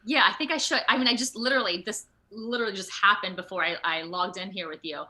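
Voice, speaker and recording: A woman with a bright, forward voice at an everyday middle pitch, talking as an interview guest. She is chatty and animated, with loose, informal phrasing in a casual video call conversation. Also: quick pace. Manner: animated, chatty, informal with frequent "like" and "you know". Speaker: voice and motion capture actor, interview guest. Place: at home, indoors on a video call.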